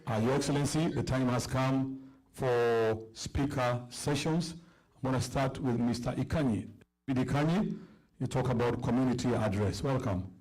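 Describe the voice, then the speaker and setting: A man's voice: mid-range voice, moderate pace, a little mellow, low-quality recording. A man acting as master of ceremonies, formally introducing speakers. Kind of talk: formal event hosting.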